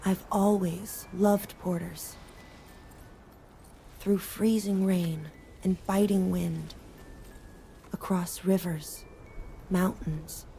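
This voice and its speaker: An actress playing a wistful, solitary woman in a video game, narrating reflectively with measured pauses. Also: on the low side, dark tone. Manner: measured, pausing between phrases. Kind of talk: slow, reflective scripted narration.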